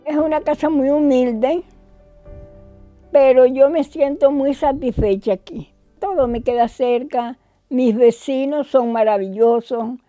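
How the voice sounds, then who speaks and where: An older woman speaking with quiet pride about her life and home. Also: high voice, measured pace, no echo, dull audio, soft background music. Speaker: documentary interviewee. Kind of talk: interview testimony.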